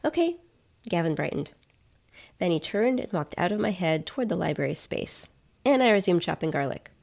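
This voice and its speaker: A woman reads her own scripted first-person narration. Her voice sits slightly low with noticeable fry, and her delivery is conversational and wry, like a writer hosting a podcast. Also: lively intonation, a little fast, darkish tone.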